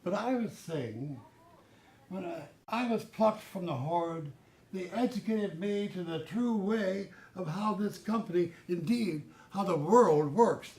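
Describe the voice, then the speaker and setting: A zealous corporate middle manager played by a man speaks in a high male voice. He is pompous and condescending, lecturing in grand phrases as in a satirical stage play. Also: expressive, slow pace, a little mellow, full character voice, rough recording. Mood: condescending, sinister.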